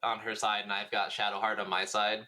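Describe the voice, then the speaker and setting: A man's voice: on the low side, flat delivery, a little fast, crowd noise. A man chatting informally as co-host of a gaming podcast, swearing freely. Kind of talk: casual gaming podcast chat.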